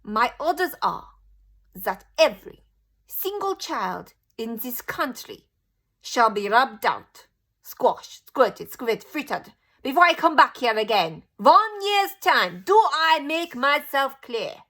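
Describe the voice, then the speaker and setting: A woman voicing a cruel, furious female witch leader who shrieks out slow, drawn-out tirades. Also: sits high, big pitch swings, bright, forceful tone, pitched above the performer's own voice, full character voice. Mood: commanding.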